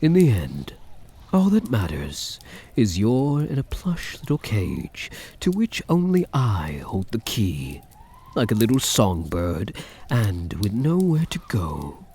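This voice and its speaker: A man playing a possessive, seductive vampire count in a soft-spoken ASMR roleplay, teasing and menacing in an intimate, deliberate way. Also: lowish voice, huge pitch swings, full character voice. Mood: smug, teasing. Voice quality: soft, husky.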